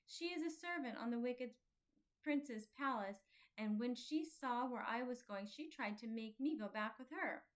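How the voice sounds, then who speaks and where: A woman voicing a quiet, thoughtful young pilgrim boy in a children's storybook, speaking earnestly and plainly. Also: a little high, expressive, a little slow. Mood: earnest, recounting.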